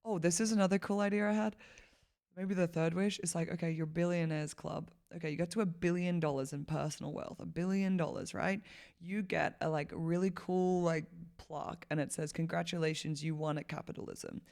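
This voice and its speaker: Woman with heavy vocal fry, chatty. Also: fairly low pitch, fairly even intonation, a little fast, a little mellow. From Malawi. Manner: rambling, heavy use of "like" fillers, laughs often. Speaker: podcast guest, founder. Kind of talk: casual conversational interview.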